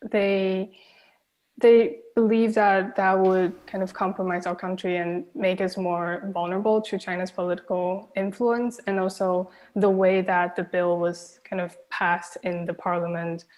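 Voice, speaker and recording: A young woman from Taiwan, a native Mandarin speaker, answering as a film director in a thoughtful, soft-spoken way with many pauses. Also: medium pitch, rough recording. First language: Mandarin Chinese. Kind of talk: reflective festival Q&A answers.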